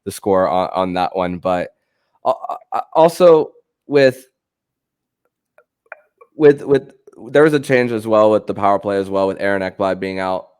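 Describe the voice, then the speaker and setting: A man hosting a casual sports podcast, talking in a halting way that often stammers and repeats words and phrases. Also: fairly low pitch, a little mellow, clean tone, dry recording, clean studio sound.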